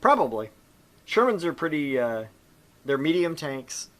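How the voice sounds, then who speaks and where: A man's voice: fairly high pitch, sing-song, measured pace. A man hosting a game stream, reading a visual novel aloud and chatting casually in a salty, joking way. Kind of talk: casual chat mixed with game narration.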